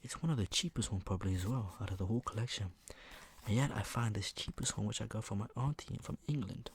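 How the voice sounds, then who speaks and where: A man speaking in a hushed murmur that slips into whispering, with a sore throat, casually showing items for ASMR. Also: on the low side, fast talker, husky. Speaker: ASMR video creator. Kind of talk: ASMR, soft casual product show-and-tell.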